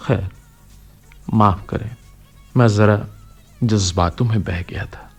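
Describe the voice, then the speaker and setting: Kindly elderly postman, husky, unhurried, lowish pitch, fatherly, earnest, radio drama. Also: a little mellow, faint music behind, exaggerated voice. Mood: earnest, impassioned. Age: older. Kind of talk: Hindi radio play acting.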